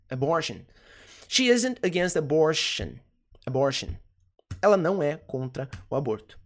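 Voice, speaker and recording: A teacher, talking to camera in an English lesson and repeating words slowly to drill pronunciation. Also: medium pitch. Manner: explains in quick asides, then stresses English words. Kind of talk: online English lesson for Portuguese speakers.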